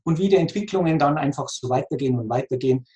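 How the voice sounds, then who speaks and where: A man's voice: fairly high pitch, fast talker, mellow, muffled recording. Earnest Bavarian man in his forties. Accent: Lower Bavarian. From Lower Bavaria, Germany. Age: 40s. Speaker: coach, interview guest. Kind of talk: conversational explaining.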